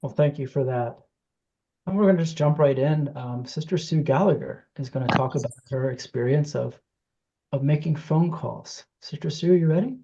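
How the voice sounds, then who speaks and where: Mellow, halting host with dull audio. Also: medium pitch, a little hiss or hum. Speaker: host, justice team director. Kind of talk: informal welcome to an online meeting. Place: online video meeting.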